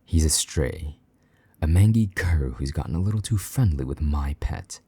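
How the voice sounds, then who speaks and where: A man playing a possessive, jealous vampire knight in an intimate audio roleplay, haughty and condescending, with a husky voice that slips toward a whisper. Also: a bass voice, animated, warm tone, no echo, full character voice. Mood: jealous, contemptuous. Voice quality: husky, breathy, half-whispered at times. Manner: condescending, slow-burning menace, mock-sweet endearments.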